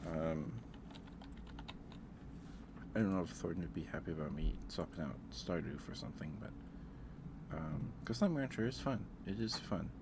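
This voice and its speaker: A man's voice: low-pitched, moderate pace, long pauses, background noise. A man hosting a casual livestream, thinking aloud in a hesitant way. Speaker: livestream host.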